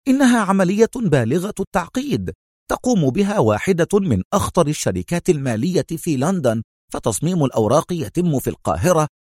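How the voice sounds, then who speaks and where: A man's voice: fairly high pitch, sing-song, fast talker, darkish tone, slightly rough, dry recording, clean studio sound, voice pushed up. A man playing a stern, sceptical consul in a dramatic audio story, with a firm, emphatic and authoritative way of talking.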